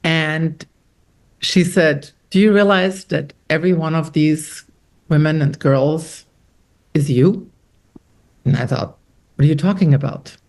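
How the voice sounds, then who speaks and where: A middle-aged woman from Austria, an author talking about her novel in an interview, animated and quoting others as she tells stories. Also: low-pitched, measured pace, long pauses. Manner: animated storyteller, quotes others with lively shifts. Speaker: author guest. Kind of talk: conversational interview.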